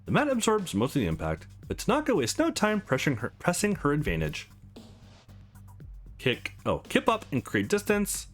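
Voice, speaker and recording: A man narrates a visual novel as a let's play host. His delivery is hugely varied and expressive, acting out the text as he goes. Faint game music plays behind him. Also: on the high side, normal speed. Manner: expressive, acts out the text as he reads. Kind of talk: dramatic read-aloud of a visual novel with offhand commentary.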